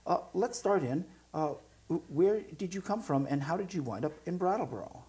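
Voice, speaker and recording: A woman's voice: fairly low pitch, animated, moderate pace, warm tone. A woman hosting a local community interview show, asking questions in a conversational style.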